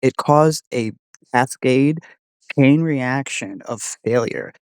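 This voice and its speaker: A man's voice: medium pitch, slow pace, smooth, no echo. A man hosting a voice-model test, talking earnestly in a conversational, explanatory way. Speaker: host testing an AI voice model.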